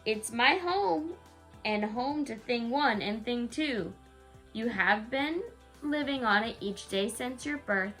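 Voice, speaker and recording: A woman's voice: a little high, expressive, takes it slowly, a bit bright, faint music behind. A woman reading a rhyming bedtime story aloud to a young child, in a sing-song way with casual asides.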